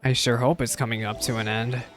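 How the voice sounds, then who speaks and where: A man's voice: medium pitch, flat delivery, brisk, a bit bright. A man streaming a game, reacting with casual running commentary. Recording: game music underneath.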